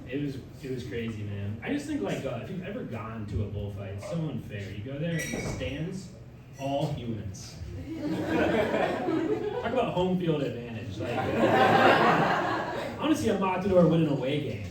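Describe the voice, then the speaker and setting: A young man performing a stand-up comedy set, rambling and self-mocking, with loud punchlines and audience laughter. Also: mid-range voice, very animated, moderate pace, distant mic. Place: comedy club stage. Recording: echoey room taped from afar, noisy.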